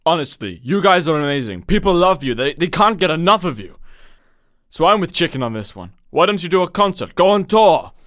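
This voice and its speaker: A man playing a flattering, persuasive music producer in an audio story, talking like a salesman. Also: on the high side, brisk. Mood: eager, flattering. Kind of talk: children's story character acting.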